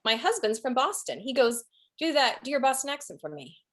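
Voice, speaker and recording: A woman speaking casually as an interviewed audiobook narrator, telling anecdotes in an emphatic, halting, expressive way. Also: high-pitched.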